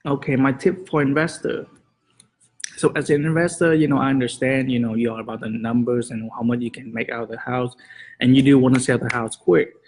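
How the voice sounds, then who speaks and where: Husky-voiced man giving casual tips. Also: mid-range voice, rough recording. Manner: relaxed, many fillers, slightly nonstandard grammar. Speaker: real estate agent and show host. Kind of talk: casual advice talk to camera.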